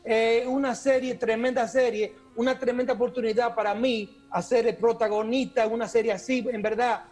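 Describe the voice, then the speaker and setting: A middle-aged Dominican man with a Cibaeño accent, talking on a webcam video call as an actor guest, animated and often restarting his words. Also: extremely high pitch, normal speed, hardly any pauses, soft background music. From Dominican, raised in the United States. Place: small room with posters indoors.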